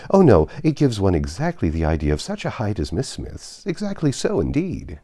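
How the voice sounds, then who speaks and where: A man reading a storybook as an effusive, gallant young gentleman who repeats himself in eager praise. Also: on the low side, wildly swooping pitch, moderate pace. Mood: flustered, insistent.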